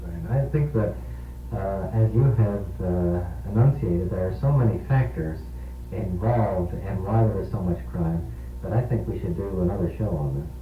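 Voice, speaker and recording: Guy on old 70s radio, low voice, kinda formal n wordy, thin phoney sound. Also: normal speed, far from the mic. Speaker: talk show host. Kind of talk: local radio discussion. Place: radio program, distant-sounding and echoey. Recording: tinny, echoey 1970s radio aircheck, humming noise.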